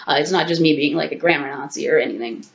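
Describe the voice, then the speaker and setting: A woman in her thirties, sick and a little stuffed up, talks frankly and casually to her webcam, swearing and laughing as she goes. Her voice sits a bit low. The sound is dull and lo-fi, with the echo of a small home room. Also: fast, creaky, slight background noise. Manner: chatty, frank and profane, with frequent laughs and asides. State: sick with a sore throat and congestion. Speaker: vlogger. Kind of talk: casual talking-head commentary. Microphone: webcam at desk distance.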